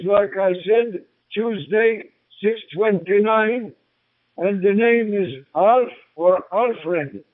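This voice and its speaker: A man's voice: high voice, dry recording. A man making amateur radio contacts, courteous and hesitant, repeating names and call signs over a radio link. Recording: tinny phone-like radio transmission.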